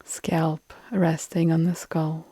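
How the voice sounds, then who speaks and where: A woman leads a guided yoga nidra relaxation in a low-leaning, mellow voice. She is calm and soothing, leaving long pauses, and her soft phrase endings turn breathy. The delivery stays very even, with hardly any melody. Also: no echo.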